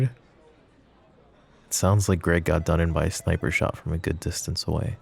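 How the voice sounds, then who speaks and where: Man acting a very deep-voiced, gravelly mafia boss. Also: darkish tone, exaggerated voice. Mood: grim, warning. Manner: smooth-talking, teasing, pet names, sighs. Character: flirty, possessive mafia boss, teasing yet protective. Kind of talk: roleplay ASMR acting.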